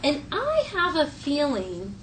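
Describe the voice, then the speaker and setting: A woman teacher reading a storybook aloud to her class and prompting the children in clear, instructional phrases. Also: high voice, huge pitch swings, slow pace. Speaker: elementary school teacher. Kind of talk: classroom read-aloud and questioning. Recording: distant mic, faint classroom noise.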